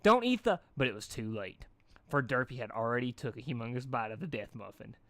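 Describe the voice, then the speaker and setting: A man hosting a fan fiction reading, switching between expressive narration and informal, rambling chat. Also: mid-range voice, fairly quick, no room sound. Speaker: fan fiction reader and video host. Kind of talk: animated story reading and casual chat.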